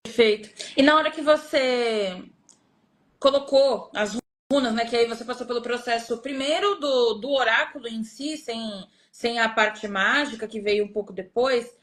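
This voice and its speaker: High-voiced woman host. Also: a bit bright. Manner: halting, searching questions full of fillers. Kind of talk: live stream interview.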